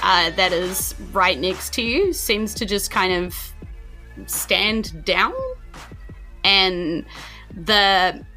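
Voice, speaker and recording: A woman with a New Zealand accent, narrating as game master in a halting, searching way with many "likes". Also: mid-range voice, pushed and bright, faint music behind, rough recording.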